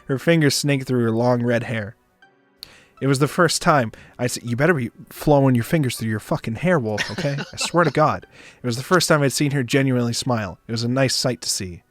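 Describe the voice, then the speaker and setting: A man's voice: medium pitch, a little fast, no echo, soft background music. A man narrating a visual novel in the first person on a stream, with casual asides.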